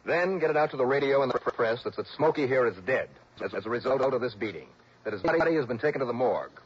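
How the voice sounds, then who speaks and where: An actor playing a shrewd, urgent private investigator who thinks aloud in a 1960s radio drama. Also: male voice, mid-range voice, lively intonation, fast, close to an everyday voice. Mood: urgent, scheming. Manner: clipped, musing, thinking aloud. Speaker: lead actor. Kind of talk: 1950s-60s radio detective drama acting. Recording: dull, hissy old radio recording.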